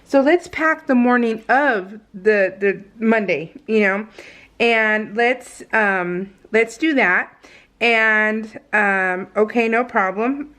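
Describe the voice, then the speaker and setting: A woman's voice: a little high, a bit bright. A woman with an American accent chatting casually and unscripted as a vlogger, in a rambling, giggly, storytelling way. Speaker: vlogger hosting a scrapbooking process video.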